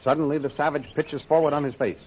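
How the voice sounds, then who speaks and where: A man's voice: a little high, fairly even intonation, quick pace. A man narrating an old-time radio adventure serial in a dramatic, scripted style. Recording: dull, faintly noisy old radio recording.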